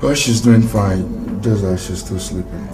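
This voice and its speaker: An actor playing a young doctor in a film drama, accusing and shouting in heated argument. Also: male voice, fairly low pitch, a little slow, natural voice. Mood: worried. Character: angry young male doctor. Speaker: doctor character. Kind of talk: Nollywood film acting. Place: indoor room, film set. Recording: film soundtrack with loud music.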